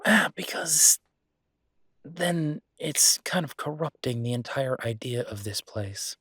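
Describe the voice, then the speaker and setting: A man's voice: medium pitch, expressive, slow, sharp tone, slightly rough, no echo, full character voice. A man playing a frustrated, affectionate restaurateur boyfriend in an intimate roleplay audio drama, talking conversationally and hesitantly to his partner. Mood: frustrated, reluctant. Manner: conversational, hesitant, exasperated but fond.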